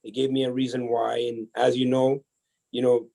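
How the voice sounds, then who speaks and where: A man from El Salvador, a podcast guest telling his life story in a casual, energetic way, often restarting his sentences. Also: mid-range voice, normal speed, creaky, low-quality recording. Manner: energetic, chatty, many restarts.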